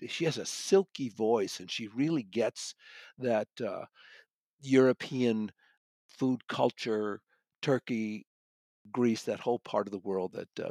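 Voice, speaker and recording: A man talking conversationally about books as a reviewer, thoughtful and halting, often repeating a word as he goes. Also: on the low side, a little mellow, no echo. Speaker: audiobook reviewer and guest critic. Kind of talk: conversational book discussion.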